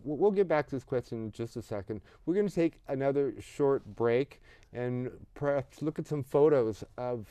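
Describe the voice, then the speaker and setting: A man hosting a casual interview, chatty and affirming, with quick asides to the guest and viewers. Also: medium pitch, mellow, smooth, no echo. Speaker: talk show host.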